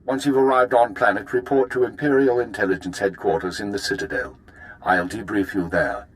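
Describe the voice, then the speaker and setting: An actor playing a composed, authoritative imperial spymaster in a video game, formal and measured. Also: male voice, lowish voice, lightly acted, low-quality recording. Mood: businesslike.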